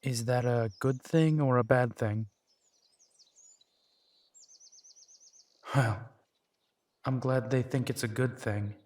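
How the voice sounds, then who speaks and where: A man playing a gentle, dry-humored werewolf private eye and younger brother in an immersive roleplay audio drama, speaking softly and wryly. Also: mid-range voice, fairly even intonation, unhurried, natural voice. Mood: gentle, reassuring.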